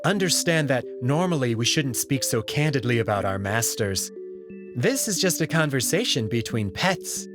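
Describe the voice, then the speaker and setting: A man voicing a polite, discreet young alien servant with a courteous, measured and gently candid manner, in a visual novel. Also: mid-range voice, very animated, music in the background, close to an everyday voice. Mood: diplomatic. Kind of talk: visual novel character read-through.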